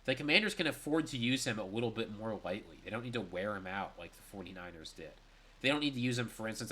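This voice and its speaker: A man's voice: lowish voice, pushed and bright, breathy edge. A young man with a stuffy, congested voice, giving a solo reaction and analysis of sports news. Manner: brisk and analytical, with emphatic stress.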